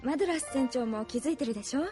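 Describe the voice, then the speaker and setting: Actress as caring young female companion, girlish, extremely high voice, earnest and anxious, anime radio drama over loud music. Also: rapid-fire, lightly acted. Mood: concerned. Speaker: voice actor. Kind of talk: Japanese anime audio drama acting.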